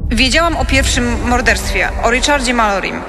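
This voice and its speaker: An actress playing a killer's anxious, worn-down lover in a true-crime radio drama, earnest and distressed. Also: female voice, on the high side, brisk, music in the background, lightly acted. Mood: anxious, regretful. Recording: long hall-like reverb.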